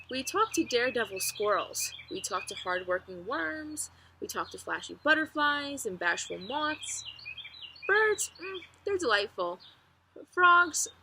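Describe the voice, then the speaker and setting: A woman's voice: medium pitch, sharp tone, heavily acted. A woman voicing a friendly, proud oak tree with wry, chatty humour in a children's storybook read-aloud. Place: outdoors among trees. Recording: outdoor ambient noise, faint birdsong.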